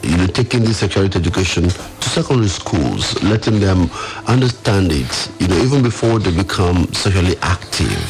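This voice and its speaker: A man's voice: low-pitched, very animated, brisk, hardly any pauses, faint music behind, low-quality recording. A man with a Nigerian accent hosting a conversational radio discussion. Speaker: radio host.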